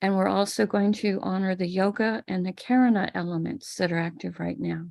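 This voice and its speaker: American woman walking viewers thru a ritual, calm and steady, gravelly low-toned voice. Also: a little fast. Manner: calm, explanatory, step-by-step. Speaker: spiritual teacher leading a ritual. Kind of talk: live-streamed ritual instruction. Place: home room with a small altar table.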